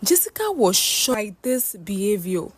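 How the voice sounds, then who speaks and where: A woman's voice: mid-range voice, very animated, normal speed, breathy edge, no echo. A woman narrating a scripted folktale as a storyteller.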